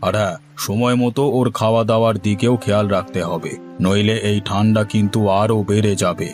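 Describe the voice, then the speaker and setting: Man acting a deep-voiced, soothing cartoon village elder figure. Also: fairly even intonation, a little fast, darkish tone, close to an everyday voice. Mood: advising, reassuring. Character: placating village husband and kindly advising doctor. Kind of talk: Bengali fairy-tale cartoon voice acting. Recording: music under the voice.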